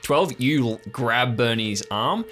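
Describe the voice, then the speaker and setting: A man's voice: medium pitch, lively intonation, takes it slowly. A man narrating an improvised tabletop audio drama as game master. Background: music.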